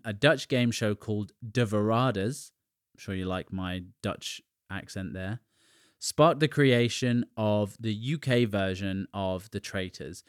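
British podcast host, low voice, dry close sound, chatty and jokey. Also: male voice, clean tone, no room sound. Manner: chatty and conversational, with asides and little jokes. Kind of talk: casual solo podcast monologue.

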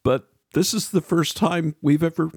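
A man's voice: on the high side, moderate pace, husky, no echo, studio quality. A man hosting a faith podcast, delivering introductions and interview questions in a radio style.

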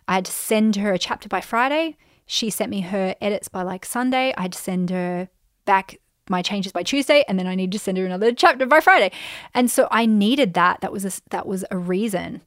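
An Australian woman in her thirties hosting a solo podcast, chatty and animated, her voice getting a bit croaky. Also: mid-range voice, no room sound, studio quality. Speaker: podcast host, business coach. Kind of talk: casual solo podcast, answering listener questions.

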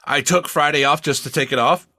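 A man co-hosting a podcast in casual banter, swearing freely and rambling in an animated way. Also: a little high, a little fast, dry recording, studio quality. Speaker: podcast co-host.

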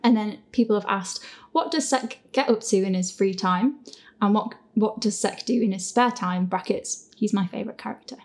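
A woman's voice: mid-range voice, moderate pace, a little mellow, a little hiss or hum. A woman hosting a casual, chatty question and answer session about her audio fiction, in a giggly, self-deprecating way. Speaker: host and audio fiction creator. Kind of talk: casual Q&A chat.

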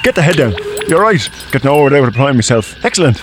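Rapid-fire chummy dad played by a man. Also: medium pitch, sing-song, close to an everyday voice. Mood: eager, chummy. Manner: breezy, hurried, trying to be pally. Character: over-eager, chummy middle-aged dad. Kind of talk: audio comedy drama acting.

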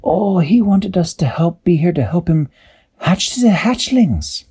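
A man voicing a kind, eager male medic in a made-up story, in a high voice. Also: expressive, unhurried, warm tone, husky, full character voice.

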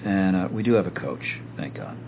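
A man hosting a podcast, reflective in casual chat and quick to laugh. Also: low-pitched, animated, creaky. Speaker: podcast host. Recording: lo-fi phone-like sound with faint hiss.

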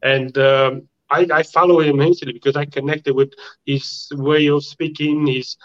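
A man's voice: fairly high pitch, little pitch movement, normal speed, highs cut off. A man from Romania with a Romanian accent, a guest telling his story in a casual interview, often repeating words as he searches for the phrasing.

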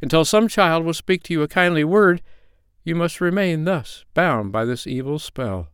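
A man voicing a gentle, sorrowful pine tree spirit that consoles in a mournful, raised voice. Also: fairly high pitch, expressive, moderate pace, smooth, no room sound, clean studio sound, voice pushed up.

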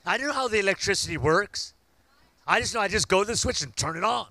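A man, preaching a sermon and building to loud, fervent call-outs to the congregation. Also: sits high, lively intonation, fast, dry recording. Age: 50s. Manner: preaching with rising shouts and call-outs to the crowd. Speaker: pastor.

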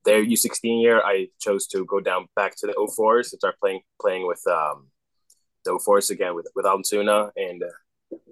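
Low-voiced young man, polite. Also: level tone, moderate pace, fairly bright tone, no room sound, poor audio. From Sweden. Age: about 20. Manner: polite, easygoing, with fillers and small restarts. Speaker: guest, junior hockey player. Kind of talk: casual interview answers. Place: basement room. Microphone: video call.

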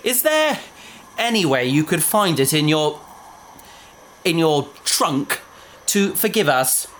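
A prim, fussy researcher. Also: male voice, a little high, very animated, slow pace, a bit bright, a little hiss or hum, full character voice. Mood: formal. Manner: formal, long-suffering. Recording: studio drama with faint music.

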